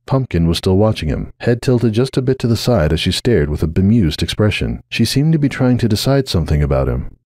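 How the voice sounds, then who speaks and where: A man narrates a story in a fairly low voice with a very lively, dramatic range. The recording is dry and close, with no room sound. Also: fairly quick, no room sound. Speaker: narrator of a dramatic fan fiction reading.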